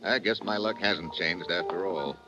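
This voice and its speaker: A man's voice: low-pitched, moderate pace, sharp tone, faint music behind. An actor playing a confident, wisecracking American agent posing as a newsman in a 1950s hardboiled radio drama. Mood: easygoing. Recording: dull, noisy vintage radio recording.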